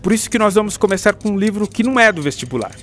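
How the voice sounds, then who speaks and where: A man's voice: sits high, expressive, very fast, a bit bright. A man acting in a radio drama as a literature teacher, explaining things informally to a class, with a Brazilian accent. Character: engaging male literature teacher addressing teenage pupils.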